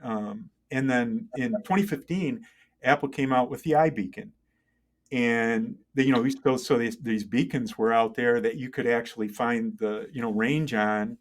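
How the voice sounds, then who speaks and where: Middle-aged man, interview guest, medium pitch, conversational, halting delivery, thinking aloud, frequent check-ins. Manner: halting, thinking aloud, often checking with "right?" and "you know". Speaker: interview guest, tech entrepreneur and engineer. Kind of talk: conversational interview, telling career story.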